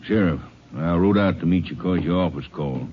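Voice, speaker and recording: An actor playing a gruff, defensive rancher with rough speech in a 1950s radio drama. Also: male voice, deep voice, fairly quick. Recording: dull old broadcast with faint hiss.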